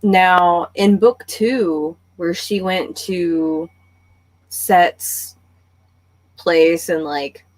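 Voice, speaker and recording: A woman's voice: lowish voice, drawn-out words, poor audio. A woman hosting a casual book club discussion, talking conversationally and thinking aloud as she goes.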